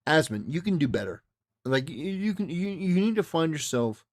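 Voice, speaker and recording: A man giving casual, opinionated livestream commentary in an emphatic, repetitive way, with stop-start phrasing. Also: fairly high pitch. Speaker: livestreamer reacting to a clip.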